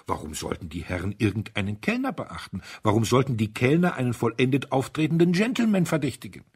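A man with a husky voice narrating a detective story in an expressive, theatrical way. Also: medium pitch, fast. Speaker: audiobook narrator.

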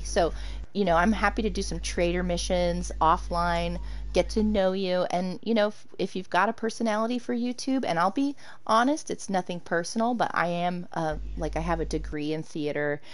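Chatty woman streamer with a low voice. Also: normal speed. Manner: chatty, laughs often, answers chat. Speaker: game streamer. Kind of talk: casual live-stream chat while gaming.

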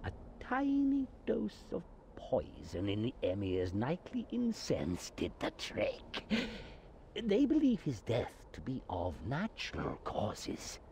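An obsequious poisoner from a fantasy game. He speaks very deliberately, with a gravelly, breathy croak that slides up and down dramatically. There is faint noise behind him. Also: mid-range voice, wildly swooping pitch, a little mellow, heavily acted. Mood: sly, hushed. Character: sly, servile poisoner and envoy, male. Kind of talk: video game villain acting.

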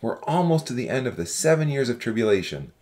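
A man's voice: mid-range voice, big pitch swings, quick pace, darkish tone, close to an everyday voice. A man voicing a fervent, suspicious young religious heckler in a dramatized audiobook, accusing and declamatory. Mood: accusing, alarmed.

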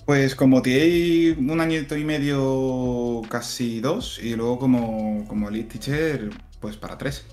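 A man's voice: medium pitch, slow. A man in his thirties from southern Spain, chatting casually as a guest, laughing lightly and restarting his sentences. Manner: relaxed, chuckling, many fillers and restarts. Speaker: guest software engineer and teacher. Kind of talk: casual interview chat. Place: room at home. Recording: echoey room, faint music.

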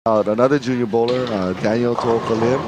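A man commentates a bowling final in an easygoing, conversational tone. His voice sits at an ordinary middle pitch. Clear clatter and hum from the bowling alley sit behind him. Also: brisk. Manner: relaxed, chatty play-by-play. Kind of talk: live sports commentary. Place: bowling center.

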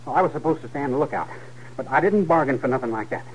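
Young cowboy kid, old radio western, country american drawl, earnest n defiant, voice swings around a lot. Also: male voice, mid-range voice, lively intonation, fast talker, husky, poor audio. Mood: earnest, uneasy. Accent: American rural Western. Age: young adult. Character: defiant, earnest frontier teenage son. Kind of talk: 1950s radio western acting. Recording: old 1950s radio recording.

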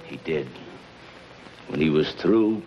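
An actor playing a loyal, weary middle-aged henchman, gruff and slangy, in a 1950s television crime melodrama. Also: very deep voice, expressive, slow pace, heavily acted. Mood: grim, resigned. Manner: gruff, plain-spoken, slangy. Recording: muffled, noisy 1950s television soundtrack.

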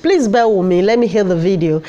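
A woman's voice: mid-range voice, fairly quick, dark tone, lo-fi sound. A middle-aged Nigerian woman with a Nigerian accent, talking straight into a close-held phone in a casual, preachy vlog and repeating her points. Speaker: vlogger. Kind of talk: casual to-camera monologue in Nigerian Pidgin.

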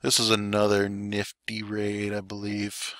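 A man commentating a strategy game war replay in a relaxed play-by-play, his voice hoarse and raspy today, with fillers and occasional coughs. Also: on the low side, measured pace, dry recording, clean studio sound. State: voice getting raspy. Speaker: clan war recap commentator. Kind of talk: gameplay commentary.

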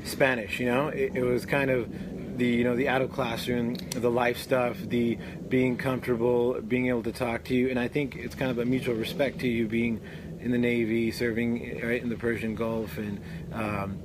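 A man's voice: medium pitch, level tone, moderate pace, background noise. A young man hosting an interview outdoors, talking in a loose, chatty way with fillers. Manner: chatty, laughs along, fillers. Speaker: show host. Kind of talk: casual interview chat. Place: outdoors under a tree.

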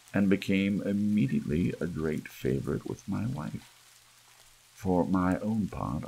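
A man with a very low voice narrates a gothic audiobook in an ASMR style. His tone is gravelly and husky, full of creak. He phrases with measured, dramatic pauses. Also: poor audio. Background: soft rain.

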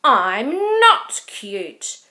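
A woman voicing an indignant little male baby owl in a storybook, with loud, slow, drawn-out protests. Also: mid-range voice, very animated, exaggerated voice.

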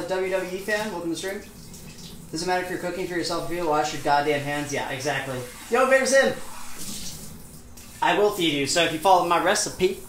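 Higher-voiced man chatting in an echoey kitchen. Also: a bit bright. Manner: informal, sweary, reads viewer comments aloud. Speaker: host of a cooking livestream. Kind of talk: casual livestream chat while cooking. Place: home kitchen, indoors. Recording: roomy kitchen, clear background noise.

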